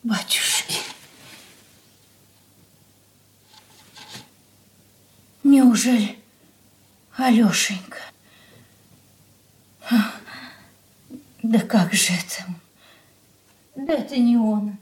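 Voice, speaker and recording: A woman's voice: on the high side, slow, pauses often. An actress in a radio drama playing an anxious, submissive older mother, plaintive and pleading. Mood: alarmed, lamenting. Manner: plaintive lamenting with folksy diminutives. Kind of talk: Russian stage-style radio drama acting.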